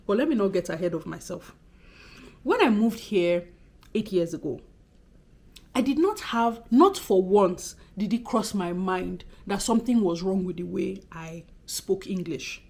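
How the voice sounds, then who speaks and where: A woman in her thirties from Nigeria, with a Nigerian accent that carries a Benin undertone, talking expressively and fast in a casual vlog to camera. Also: mid-range voice, lively intonation, a little mellow. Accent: Nigerian, Benin City undertone. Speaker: YouTuber.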